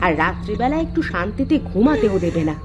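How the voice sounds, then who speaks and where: A woman's voice: medium pitch, expressive, quick pace, lo-fi sound, over music, natural voice. A woman playing a grumpy, sleepy village wife in a cartoon, scolding and complaining. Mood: irritated. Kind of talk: animated horror cartoon dialogue.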